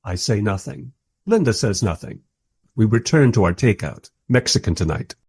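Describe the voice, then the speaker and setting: Radio drama narrator, male actor: husky, lowish, wry and musing filmmaker telling his own story. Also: animated, normal speed, darkish tone.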